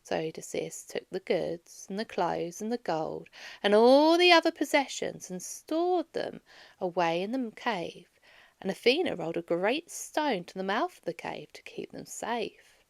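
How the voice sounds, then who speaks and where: A woman reading a children's story aloud in an expressive storyteller's way, dramatizing as she goes. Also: mid-range voice, a little slow, creaky, dry recording, clean studio sound. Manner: animated, dramatizes dialogue.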